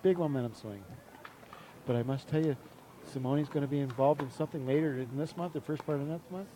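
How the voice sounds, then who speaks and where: A man giving chatty, excitable live commentary on a sports match. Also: on the high side, fairly quick, a little hiss or hum, rough recording.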